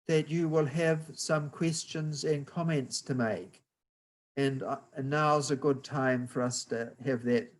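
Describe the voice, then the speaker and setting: Slow-spoken New Zealand man lecturing. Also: fairly high pitch, a little mellow, low-quality recording. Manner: patient, explanatory, slow. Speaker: guest lecturer. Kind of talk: university lecture, slow and deliberate.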